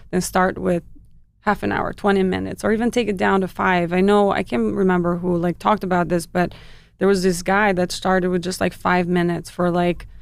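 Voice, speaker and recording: A woman's voice: lowish voice, creaky, clear, resonant tone, no echo. A woman from Albania, a fitness trainer, talking casually in an interview with frequent laughs and restarts. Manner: chatty, warm laughs, many fillers and restarts.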